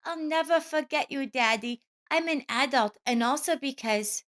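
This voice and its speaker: Woman acting a small boy, high-pitched, earnest, sweet, affectionate, childlike phrasing, audio story. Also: moderate pace, fairly bright tone. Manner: simple childlike speech, solemn and sweet.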